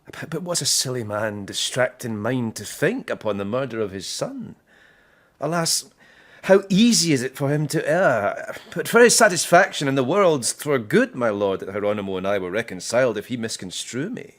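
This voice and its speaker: Actor as scheming young nobleman, expressive voice. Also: mid-range voice, normal speed, close to an everyday voice. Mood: smoothly defensive. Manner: courteous, persuasive, self-justifying. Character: smooth, scheming young nobleman, a duke's son. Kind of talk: classical verse radio drama acting.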